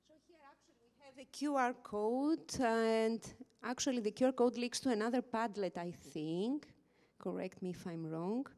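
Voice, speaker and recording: A woman's voice: fairly high pitch, moderate pace, a little mellow. Woman with a handheld mic in a reverberant hall. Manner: informal, thanks the panel, addresses the audience directly. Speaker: session moderator. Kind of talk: closing remarks at a workshop. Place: large hotel conference hall. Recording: long reverb of a large hall.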